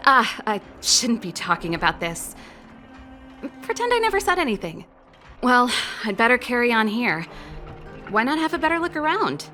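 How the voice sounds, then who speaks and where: An actress playing a sly, money-minded workshop owner in a video game, friendly with a coy, teasing manner. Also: female voice, fairly high pitch, sing-song, normal speed, bright, forceful tone, soft background music. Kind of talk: English video game voice acting.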